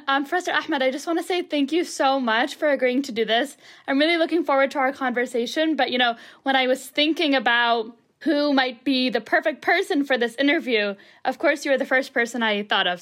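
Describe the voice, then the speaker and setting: A woman's voice: sits high, brisk, few pauses, fairly bright tone. A young woman from Egypt, a podcast producer, interviewing a guest in an earnest, polite and thoughtful way. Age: young adult.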